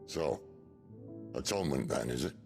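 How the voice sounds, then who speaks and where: An actor playing a wry, world-weary older rebel leader in a video game, with a gravelly voice and a dry, philosophical manner. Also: male voice, a bass voice, animated, moderate pace, music in the background, exaggerated voice. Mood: wry, reflective.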